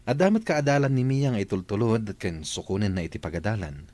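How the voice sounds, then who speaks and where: A lowish male voice with animated intonation, playing a composed employer and father as a man acts him. He is welcoming yet in charge, in conversational radio-drama style. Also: fast.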